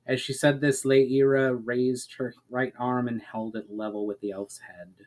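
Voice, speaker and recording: Guy reading his own story out loud, dramatic narration, medium pitch voice. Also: little pitch movement, unhurried.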